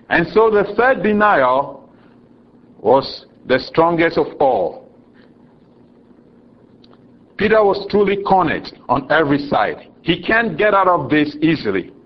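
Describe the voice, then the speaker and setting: A Ghanaian man delivers a sermon at an unhurried, measured pace, his voice sitting a little high for a man. He chuckles often between points and sinks lower for the solemn passages. The recording is dull and lo-fi. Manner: slow, deliberate preaching with frequent chuckles, dropping low for solemn lines. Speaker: preacher.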